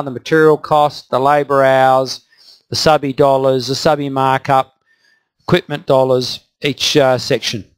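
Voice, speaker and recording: Man walking through software steps. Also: fairly high pitch. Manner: plain step-by-step explaining with occasional fillers. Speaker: software demonstrator. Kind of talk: screen-recorded software walkthrough.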